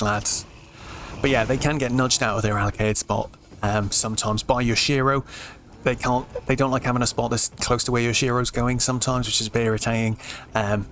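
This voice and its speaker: A British man giving laid-back, explanatory let's play commentary in an informal way, his voice slightly croaky. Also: medium pitch, fairly bright tone, a little hiss or hum. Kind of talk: casual game commentary.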